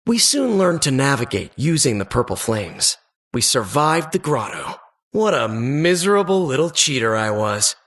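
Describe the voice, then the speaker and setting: A man's voice: medium pitch, very animated, normal speed, a bit bright, husky. A man playing an earnest adventurer who narrates childhood dungeon exploits for a video game in a suspenseful, wistful storybook manner. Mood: wistful, dramatic.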